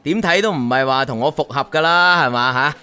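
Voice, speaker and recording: A boastful young heir in a radio drama, speaking in a high, bright, sharp voice with a Hong Kong accent. He is showy and condescending, tossing in smug tags as he goes. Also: expressive, fairly quick, smooth, soft background music. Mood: smug teasing. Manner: showy, smug, peppers talk with tags and English.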